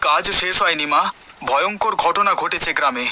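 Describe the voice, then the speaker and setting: High-voiced, earnest young village man acted out. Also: fairly quick. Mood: grave. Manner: earnest, worried. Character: concerned earnest young villager, a dutiful son. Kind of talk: cartoon voice acting. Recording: loud music under the voice.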